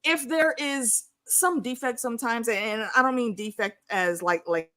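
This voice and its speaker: A woman in her early forties talking casually as a livestream host, animated and slangy, swearing freely and wandering off on tangents. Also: a little high, measured pace, a loud crowd. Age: early 40s. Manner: animated, slangy, profane, digressive. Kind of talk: casual, unscripted fan discussion chat.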